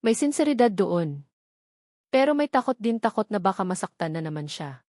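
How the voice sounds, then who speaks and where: A woman's voice: medium pitch, big pitch swings, moderate pace, darkish tone, no room sound, studio quality. A woman narrator reading a scripted dramatic love story.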